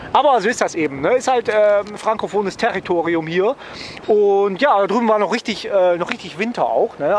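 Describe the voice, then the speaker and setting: Higher-pitched man, wry vlogger. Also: a little hiss or hum. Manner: wry, joking, often ends phrases with a questioning "ne?". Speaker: travel vlogger. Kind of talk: casual, rambling vlog chat to viewers.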